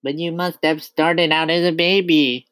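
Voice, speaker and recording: A man's voice: a little high, steady tone, a little slow, a bit bright, clear, resonant tone, no echo, pitched above the performer's own voice. A man voicing a brave little schoolboy from a children's storybook, earnest and plain-spoken. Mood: earnest, bold.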